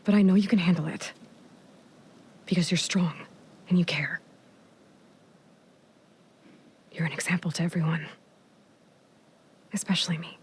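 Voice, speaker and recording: An actress playing an earnest, guarded young woman warrior in a video game, speaking with an American accent in a soft, breathy, halting and intimate way. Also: fairly low pitch, fairly even intonation. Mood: warm, reassuring. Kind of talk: naturalistic video game cutscene acting.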